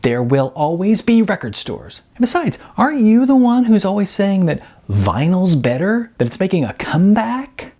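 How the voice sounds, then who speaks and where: A man's voice: high voice, big pitch swings, normal speed, few pauses, a little mellow, pitched above the performer's own voice, exaggerated voice. Guy doing a hyped up young slacker dude, cocky and pushy, voice jumping way up, storybook dialogue. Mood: insistent, teasing. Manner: slangy dude-speak, brash and insistent. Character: excitable, cocky young male slacker dreamer, record store clerk.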